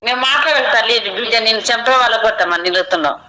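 A middle-aged woman scolding over the phone, exasperated and sharp. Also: fairly high pitch, animated, very fast, very noisy. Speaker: older sister on the phone. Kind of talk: heated phone argument.

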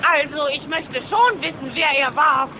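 A woman voicing an excited, curious duckling child in a German cartoon audio drama. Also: high-pitched, measured pace. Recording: muffled, noisy old cassette recording.

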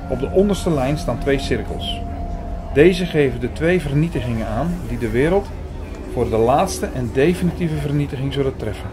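A man playing an earnest first-person narrator in an audio drama, speaking in a measured, formal, bookish way. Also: medium pitch, lively intonation, lightly acted. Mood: solemn, explanatory. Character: Hugo, earnest male first-person narrator reading out prophecy.

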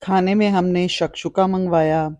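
Middle-aged woman with a bright voice. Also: lowish voice, fairly even intonation, normal speed, no room sound. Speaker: vlogger. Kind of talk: narrated walk-through vlog.

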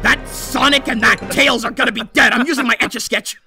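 A man's voice: very high-pitched, steady tone, fairly quick, gravelly, pushed and bright, soft background music, exaggerated voice. A man playing a bombastic, petty middle-aged mad scientist villain in an improvised comedic cartoon fandub, ranting theatrically and swearing. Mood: excited, blustering. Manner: ranting, theatrical, foul-mouthed.

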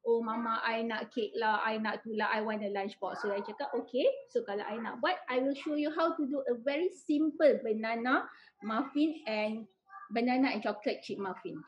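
A woman's voice: on the high side, fairly quick. A woman in her thirties with a Malaysian accent giving a casual cooking demonstration, mixing Malay and English. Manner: code-switches between Malay and English. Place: home kitchen. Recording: dull lo-fi video-call audio.

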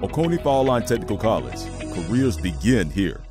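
A man's voice: fairly low pitch, sing-song, normal speed, a little mellow. A man narrating a scripted college recruitment commercial. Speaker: commercial announcer. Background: music bed.

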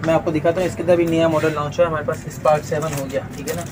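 A man from Pakistan, a wholesale shopkeeper pitching products and filling his talk with "you know" tags. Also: flat delivery, very fast. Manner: fills with "jo hai na" and "theek hai na". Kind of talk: sales pitch demonstration. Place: indoors, crowded accessories shop. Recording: noisy busy shop.

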